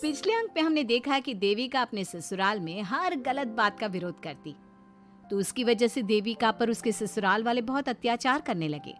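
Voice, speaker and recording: A woman's voice: fairly high pitch, lively intonation, normal speed. A woman narrator delivering scripted moral-story narration. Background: music.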